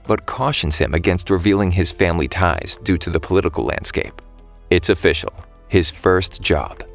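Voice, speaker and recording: A man's voice: low voice, a bit bright. A man reading a scripted plot summary as the narrator of a recap, telling it as a story.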